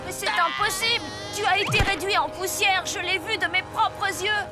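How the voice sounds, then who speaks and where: A woman's voice: very high-pitched, steady tone, normal speed, over music. A woman voicing an earnest, brainy young boy in a French cartoon dub. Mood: shocked.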